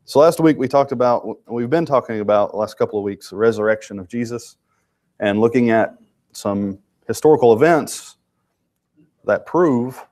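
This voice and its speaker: A man's voice: medium pitch, darkish tone. A man with a congested voice teaching a church Bible class in a loose, conversational way. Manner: informal, with restarts and asides to the class.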